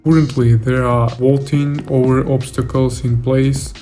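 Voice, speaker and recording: A man's voice: mid-range voice, flat delivery, unhurried, mellow. A young man reading scripted devlog narration in a plain, matter-of-fact way, explaining his work. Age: young adult. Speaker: indie game developer narrating a devlog. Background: music.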